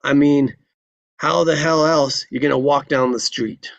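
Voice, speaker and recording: Guy doing a jovial old west marshal, gravelly and folksy, slightly dull recording. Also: fairly high pitch, fairly even intonation, measured pace, dry recording. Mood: resigned, folksy. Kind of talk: live table read of a western screenplay.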